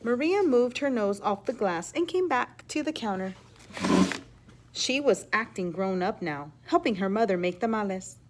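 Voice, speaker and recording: A woman reading a children's story aloud in an expressive, teacherly way, pausing to explain words and ask questions. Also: medium pitch, slight background noise. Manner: animated, emphatic reading with hushed asides.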